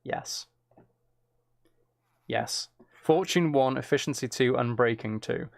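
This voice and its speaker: A man's voice: mid-range voice, slow pace, vocal fry, no room sound. A man giving casual gameplay commentary, thinking aloud in short, muttered phrases as he plays. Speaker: streamer playing a video game.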